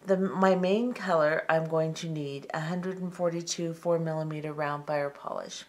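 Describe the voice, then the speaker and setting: A middle-aged woman hosting a step-by-step beading tutorial, talking calmly and methodically with frequent ums. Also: deep voice, moderate pace. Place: tabletop craft workspace, indoors.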